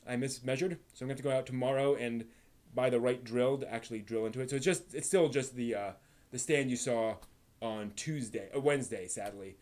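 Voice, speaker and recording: Man thinking aloud, halting and analytical. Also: medium pitch, a little mellow. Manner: analytical, explanatory. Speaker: live stream host. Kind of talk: casual rambling live stream chat about anime.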